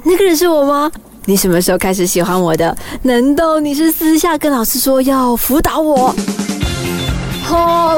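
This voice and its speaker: A woman playing a feisty, self-flattering, lovesick high-school girl in a youth radio drama, with an exclaiming, teasing manner.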